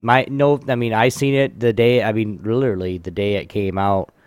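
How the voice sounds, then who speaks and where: A man's voice: fairly low pitch, fairly even intonation, moderate pace, a little mellow, clear, resonant tone, no echo. Casual man hosting trivia, close dry sound. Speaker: host of a trivia game on a live show. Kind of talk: casual chat, reading trivia aloud.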